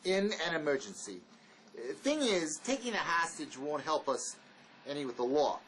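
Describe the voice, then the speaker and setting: Guy acting wary escaped con, high animated voice, blunt and argumentative, drama dialogue. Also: normal speed, slight background noise. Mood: cautious, insistent. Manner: argumentative, insistent. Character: wary, blunt male fugitive convict, argumentative and pragmatic. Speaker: actor.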